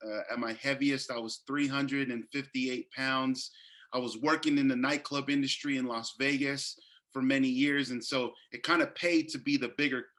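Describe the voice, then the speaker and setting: Guest, male, 30s, bright forceful tone, earnest storyteller who restarts often. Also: medium pitch, fairly even intonation, normal speed. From Northern California. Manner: earnest, many fillers and restarts. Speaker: guest health coach. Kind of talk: casual interview storytelling.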